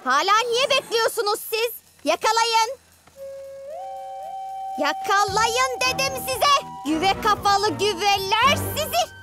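A petulant little cartoon villainess with a very high, girlish voice. She speaks slowly and commandingly, turning whiny when thwarted. Music plays loudly behind her. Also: lively intonation, exaggerated voice. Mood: exasperated, bossy. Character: bossy, petulant moon-powered villain girl. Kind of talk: animated children's cartoon dubbing.

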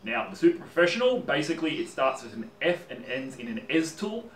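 A man's voice: fairly high pitch, a little fast, far from the mic, slight background noise. A man in his thirties with an Australian accent, talking casually to camera as host of a woodworking channel in his home workshop. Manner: chatty and animated, gestures while talking. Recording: roomy workshop sound from off-mic.

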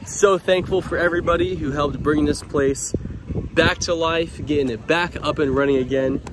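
Upbeat young male vlogger, a touch high-pitched, handheld camera, clear outdoor noise in the background. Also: steady tone, normal speed. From Louisiana. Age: young adult. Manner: upbeat, addresses viewers directly. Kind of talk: casual walkthrough vlog. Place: outdoors by a waterside dock, then inside a small shop. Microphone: handheld camera held close.

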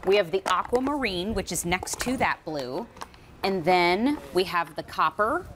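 A woman's voice: on the low side, lively intonation, measured pace, noisy background. A middle-aged woman hosting a live home shopping show in a studio kitchen, with upbeat, enthusiastic sales patter. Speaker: shopping channel host.

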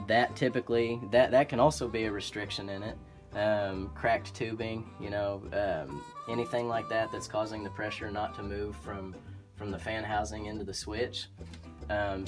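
A man with an Oklahoma accent, an HVAC technician explaining furnace repair in a relaxed, practical way, with frequent ums and restarts. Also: lowish voice. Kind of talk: instructional how-to explanation. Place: indoor utility closet by a furnace.

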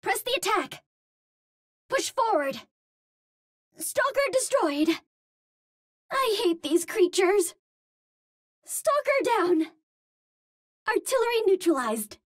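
A woman's voice: extremely high pitch, no room sound, clean studio sound, heavily acted. An actress voicing a cute, earnest young Martian space heroine in a video game, with a light girlish voice and precise, formal wording. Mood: alert, determined. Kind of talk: video game hero voice lines.

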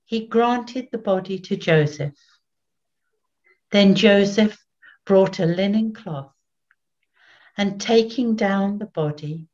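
An older woman reads scripture solemnly and very deliberately. Her pace is slow and her pitch sits in the middle. The sound is a dull webcam recording from a room at home. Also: a little mellow. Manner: measured, deliberate. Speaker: scripture reader, narrator. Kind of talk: solemn scripted Bible reading. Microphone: video call, computer at arm's length. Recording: dull webcam video call audio.

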